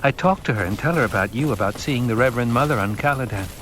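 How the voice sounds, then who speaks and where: A man's voice: mid-range voice, fast, background noise, lightly acted. A man voicing an earnest, guarded teenage boy in an audiobook, lighter and dreamy in manner.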